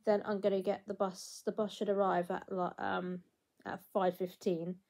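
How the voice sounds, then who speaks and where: A woman's voice: mid-range voice, flat delivery, normal speed, creaky, a little mellow. A woman in her twenties vlogging casually to her phone at home, chatty and rambling with lots of fillers and restarts. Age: 20s. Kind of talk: casual vlog to camera. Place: small room at home, indoors. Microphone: phone held at arm's length.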